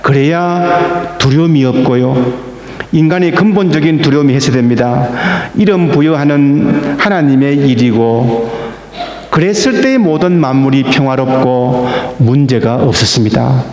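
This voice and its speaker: A man preaching a sermon as pastor, slow and emphatic, with stressed words that rise. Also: medium pitch, a little mellow, slight background noise. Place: church sanctuary. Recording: echoey church hall.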